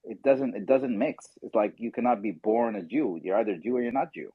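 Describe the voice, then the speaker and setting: A man hosting a casual podcast discussion, telling stories animatedly and quickly mimicking others in asides. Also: medium pitch, husky, no echo, narrow, tinny audio. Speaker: podcast host.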